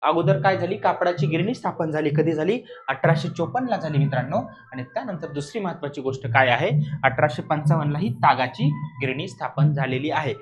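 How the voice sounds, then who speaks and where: A man's voice: fairly high pitch, expressive, brisk, highs cut off, slight background noise. A man teaching an exam lesson in a didactic way, repeating key points and often asking short questions to check understanding. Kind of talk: explanatory classroom lesson.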